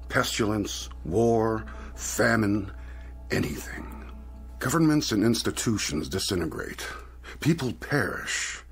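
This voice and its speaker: A suave devil in a radio drama, pitched low and swooping freely. He is silky and coaxing, a genteel salesman who stretches his words theatrically. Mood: grandly tempting.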